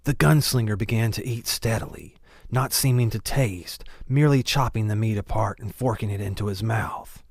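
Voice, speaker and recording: Male narrator, audiobook fiction, lowish pitch, heavy vocal fry, dry sound. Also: normal speed, no room sound. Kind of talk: scripted literary narration.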